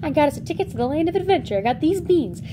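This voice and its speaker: A woman's voice: sits high, big pitch swings, very fast, noisy background, pitched above the performer's own voice. A woman voicing Jack, an eager and gullible young farm boy who exclaims in casual modern slang, in an amateur fairy tale telling. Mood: eager, excited.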